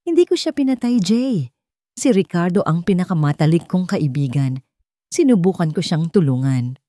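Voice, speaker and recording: Woman as elderly grandfather, gentle, measured, authoritative, storybook drama, dry close sound. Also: fairly low pitch, expressive, no room sound, lightly acted. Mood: sorrowful explaining. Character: frail old grandfather, sharp, authoritative, sorrowful.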